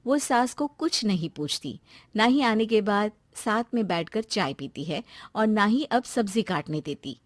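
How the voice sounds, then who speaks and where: A woman narrating a scripted moral story in an expressive storyteller's style. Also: mid-range voice, a little slow, no echo.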